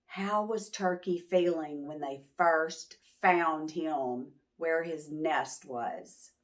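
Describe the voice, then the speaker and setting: A woman's voice: sits low, drawn-out words. A woman storyteller reading a picture book and talking simply to young children, often posing questions. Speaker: children's storyteller, channel host. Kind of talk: picture-book read-aloud, talking to preschoolers.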